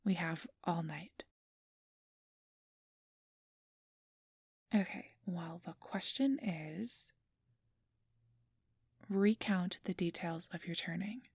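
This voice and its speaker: A woman playing a kindly, apologetic registration clerk in an ASMR roleplay, speaking softly and often in a whisper with a gentle, reassuring manner. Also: on the low side, slow, no room sound, studio quality, full character voice. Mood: calm, patient. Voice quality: soft, breathy, often whispered. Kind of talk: ASMR roleplay, soft whispery speech to the listener.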